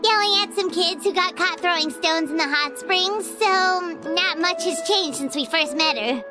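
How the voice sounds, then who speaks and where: A woman voicing a tiny, childlike fairy companion in a video game, squeaky, bubbly and chatty in a perky manner. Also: moderate pace, over music. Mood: cheerful, chatty. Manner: perky, talks of herself in third person. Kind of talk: video game character acting.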